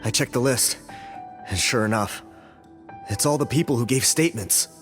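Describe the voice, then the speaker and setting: A man's voice: mid-range voice, normal speed, close to an everyday voice. An actor voicing a male subordinate officer in a video game, giving a plain report. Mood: worried. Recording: game audio with soft music.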